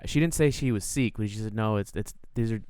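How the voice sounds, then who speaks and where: A man's voice: on the low side, fast talker, a little mellow, clear, resonant tone, dry recording, studio quality. A man in his twenties from the United States, co-hosting a film review and telling rambling personal anecdotes. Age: 20s. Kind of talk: casual chat.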